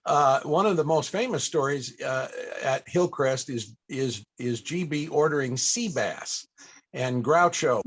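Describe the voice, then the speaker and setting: A man hosting a panel interview in a conversational way, with halting, effusive phrasing as he works toward his questions. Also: medium pitch, husky.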